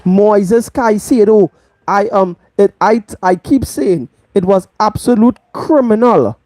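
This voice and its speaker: A woman's voice: medium pitch, dark tone, dry recording. A Trinidadian woman hosting a live football match review, stressing her points by repeating them. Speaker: football channel host.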